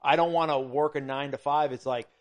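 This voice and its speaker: A man co-hosting a podcast, arguing his point in a halting, stop-start way. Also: fairly high pitch, fairly even intonation. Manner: argumentative, halting, restarts a lot. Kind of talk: casual podcast argument.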